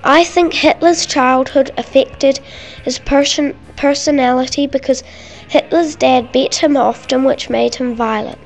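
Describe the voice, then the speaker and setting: A woman's voice: high-pitched, steady tone, measured pace, faint music behind. A woman reading scripted narration for a school project, carefully and with some stumbles over words. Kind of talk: scripted narration, read aloud.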